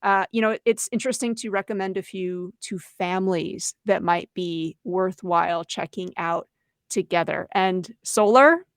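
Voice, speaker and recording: A woman's voice: on the low side, measured pace, no room sound, clean studio sound. A woman co-hosting an educational webinar, giving recommendations in an earnest, encouraging, conversational way. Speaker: educator and co-host.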